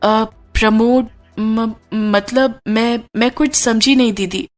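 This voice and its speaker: A woman's voice: a little high, steady tone, takes it slowly, faint music behind. A woman voicing a humble, eager young woman in a cartoon story, speaking in a sweetly earnest, deferential and hesitant way. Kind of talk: Hindi cartoon story dialogue.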